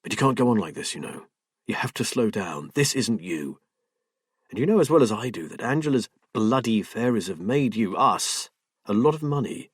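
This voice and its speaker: A man's voice: animated, normal speed, slightly rough, dry recording, studio quality. A man voicing a patient husband, hurt but firm and coaxing toward his wife. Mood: hurt, soothing. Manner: firm, coaxing, low-key.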